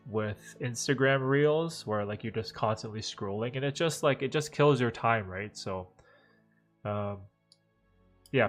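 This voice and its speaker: A man in his thirties, a bit congested and nasal, rambles easily through viewer questions on a live stream, tossing in "like" and "right?" all the time. Soft music plays faintly under his voice. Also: medium pitch. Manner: relaxed, rambling, heavy use of "like" and "right?". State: stuffed up, slightly nasal from dust allergies. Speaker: livestream host answering viewer questions. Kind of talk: casual live Q&A chat.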